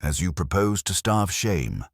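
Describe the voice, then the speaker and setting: Man voicing a very deep, imperious detective. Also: very animated, creaky, no echo, studio quality, full character voice. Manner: formal, ornate, measured rebukes. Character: stern imperious older male detective, pedantic and scornful.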